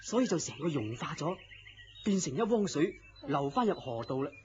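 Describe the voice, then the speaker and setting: An actor playing a gentle, melancholy man in love, speaking earnestly and theatrically in Cantonese radio drama style. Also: a little high, sing-song, moderate pace. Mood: storytelling. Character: lovestruck, gentle, self-deprecating melancholy man. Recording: dull lo-fi audio with noise.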